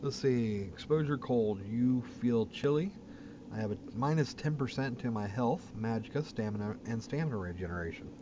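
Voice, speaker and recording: A man's voice: medium pitch, animated, moderate pace, highs cut off. A man giving casual, plain-spoken commentary as he hosts a gaming video. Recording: clear background game noise. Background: game ambience.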